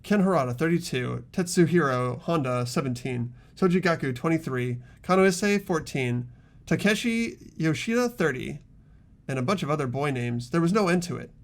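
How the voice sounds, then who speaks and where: A man voicing an earnest, polite teenage boy detective in a game, reasoning aloud in a deliberate, explanatory way. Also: on the high side, lively intonation. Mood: thoughtful, earnest. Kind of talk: amateur game voice acting.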